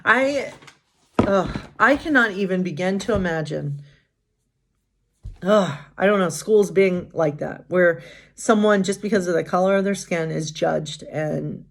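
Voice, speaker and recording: A woman's voice: lowish voice, measured pace, gravelly. Informal woman reading bedtime stories aloud. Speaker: pastor and bedtime story host. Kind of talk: read-aloud storytelling with casual asides.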